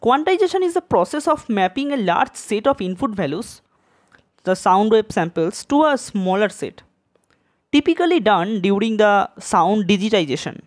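A woman's voice: medium pitch, expressive, moderate pace. A woman presenting a tutorial in a scripted, educational narration style.

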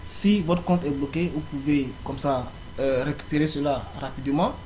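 A young man giving a screen-recorded walkthrough of an online application, pausing often with fillers as he explains each step. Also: fairly high pitch, a little mellow. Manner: halting, frequent fillers. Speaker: tutorial presenter. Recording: faint background noise.

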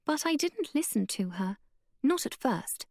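A woman voicing an eager, determined young woman, her voice pitched up, breathless and urgent. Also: big pitch swings, a little slow, a little mellow, no room sound, studio quality, full character voice.

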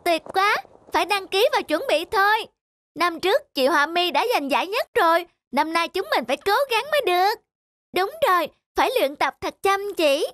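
A woman voicing an eager, excitable young bird in a cartoon, chirpy and exclamatory. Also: very high voice, normal speed, no room sound, studio quality. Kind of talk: animated cartoon dubbing. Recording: loud music over the voice.

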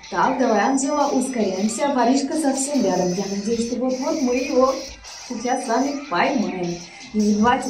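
A woman's voice: on the high side, a little mellow. A young woman hosting a gaming channel for children, chatting through the play and addressing young viewers with affectionate pet words. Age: young adult. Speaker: host of a kids' gaming channel. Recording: roomy echo under background music. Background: music.

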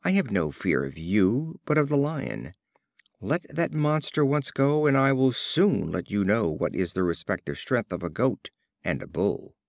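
A man voicing a calm, defiant bull in a storybook fable, making firm, measured threats. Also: fairly low pitch, vocal fry, no echo, clean studio sound, exaggerated voice. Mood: calm defiance.

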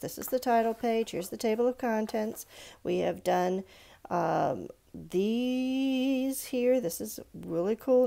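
A woman with a creaky voice giving a read-aloud art lesson for children, chatting in asides and putting questions straight to her young viewers. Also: medium pitch, dark tone. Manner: instructive, addresses young viewers directly with questions. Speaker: art reader and presenter for children. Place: indoors at a stone countertop with a book.

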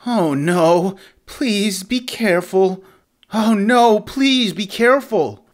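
A man's voice: high-pitched, animated, slow pace, pitched above the performer's own voice. A man voicing an eager, earnest, nerdy young former intern for a cartoon audition. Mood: eager, anxious. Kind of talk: animated series audition read.

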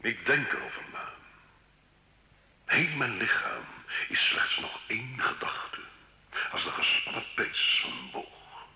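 A man's voice: mid-range voice, very animated, slow, pauses often, pushed and bright, exaggerated voice. An actor playing a condemned nobleman and former soldier, haunted and proud, in solemn, brooding radio drama. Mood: grave. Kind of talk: slow theatrical radio drama acting. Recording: dull old radio recording, roomy echo.

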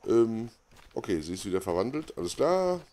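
Male gaming host, lowish voice, offhand and casual, some noise behind him. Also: very animated, moderate pace, warm tone. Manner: mutters offhand reactions to himself. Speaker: gaming let's play host. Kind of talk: casual unscripted game commentary.

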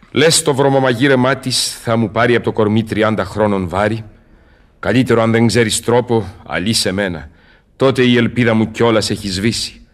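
An actor playing a proud, skeptical, world-weary old scholar, declaiming solemnly in a radio verse drama. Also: male voice, on the low side, close to an everyday voice. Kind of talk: radio theatre acting in verse.

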